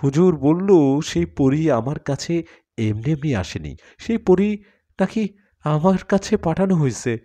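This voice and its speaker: A man's voice: fairly high pitch, big pitch swings, unhurried, mellow, pitched above the performer's own voice. A man voicing a troubled young male student in a story, earnest and reassuring.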